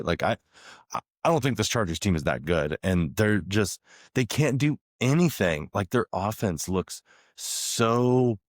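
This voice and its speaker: Man, podcast co-host, slightly raspy, cold coming on, very deep voice, dry sound. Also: dry recording. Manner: laid-back, reads out stats. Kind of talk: casual sports chat.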